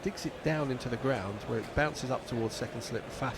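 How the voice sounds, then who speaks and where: A man's voice: mid-range voice, brisk, background noise. A man giving live ball-by-ball cricket commentary on internet radio, with dry, wry asides between deliveries.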